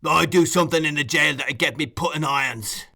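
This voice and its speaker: A man's voice: medium pitch, lively intonation, normal speed. A man voicing a brutal, short-tempered male housebreaker in an audiobook, blustering with menace. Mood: threatening. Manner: forceful, blustering threats. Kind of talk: audiobook character acting.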